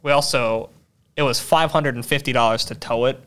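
A young man chatting on a podcast, his voice clear and smooth. He rambles through a story full of fillers and restarts, and he talks in a loose, joking way. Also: medium pitch, steady tone, fairly quick, a bit bright. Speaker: podcast co-host. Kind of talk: casual chat. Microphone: podcast mic held in hand.

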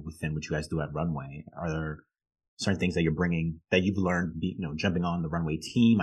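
A man's voice: very deep voice, husky, rough recording. A man hosting an interview podcast, asking wordy, conversational questions that he rephrases as he goes.

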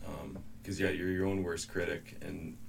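Deep gravelly male band member, hesitant, distant mic, noisy room. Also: flat delivery, normal speed. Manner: hesitant, filler-heavy. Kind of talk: casual interview answers. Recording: distant mic with background noise.

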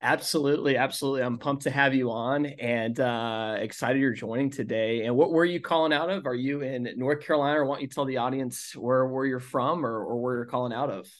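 A young man hosts a podcast with eager energy, stacking up excited phrases. He welcomes listeners and prompts his guest warmly. His voice sits at a middling pitch. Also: fairly quick, hardly any pauses, no room sound, studio quality. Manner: enthusiastic, repetitive hype phrases. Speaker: podcast host. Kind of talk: upbeat podcast intro and interview.

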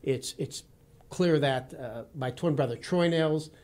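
A man in his fifties with a Midwestern accent from Wisconsin, talking as a studio interview guest. He speaks in an earnest, formal, steady way and often addresses the host by name. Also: on the high side, slow. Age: 50s. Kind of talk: radio interview. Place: radio studio.

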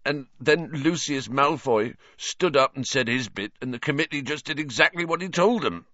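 A big, simple groundskeeper from a storybook, played by a man reading aloud, speaking in rustic, sorrowful phrases. He is soft-hearted and despondent, with a lively rise and fall. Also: medium pitch, normal speed, no room sound, dull audio, voice pushed up. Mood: guilty, numb. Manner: sad, rustic ungrammatical speech.

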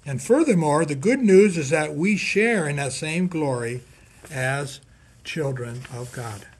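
A man reading a scripted scripture reflection as a priest, measured and deliberate, with emphatic pauses. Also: fairly high pitch, lively intonation, breathy edge. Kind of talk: scripted sermon reflection read aloud.

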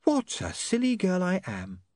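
A man's voice: high-pitched, wildly swooping pitch, measured pace, darkish tone, dry recording, clean studio sound, voice pushed up, heavily acted. A man voicing a prim, dreamy young woman reporter who can turn ferocious, in an audiobook.

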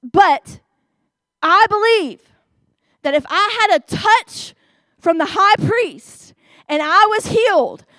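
A woman with a Southern US accent preaching a sermon, stressing her words slowly and with emphasis. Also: very high voice, bright, forceful tone, dry recording.

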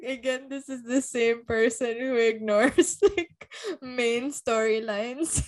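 A woman's voice: a little high, takes it slowly. A woman co-hosting a book podcast, talking casually in a blunt, teasing way and often laughing as she speaks.

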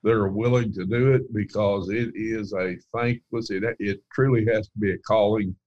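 Very deep-voiced earnest man. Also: steady tone, normal speed, darkish tone, dry recording, dull audio. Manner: earnest, plainspoken, with frequent fillers. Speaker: account manager. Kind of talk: casual interview talk.

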